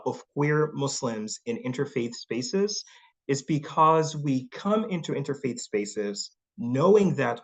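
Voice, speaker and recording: This is a young man from Saudi Arabia speaking at an online panel. His voice sits at an ordinary middle pitch. He sounds thoughtful, and his talk is halting, full of pauses and repeated words. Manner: thoughtful, hesitant with many fillers and repeats. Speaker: guest speaker.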